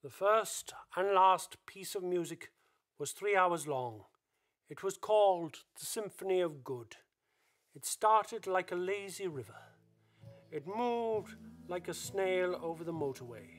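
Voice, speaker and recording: A man reciting scripted narration for a radio play in theatrical, measured phrases. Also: high voice, animated. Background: music.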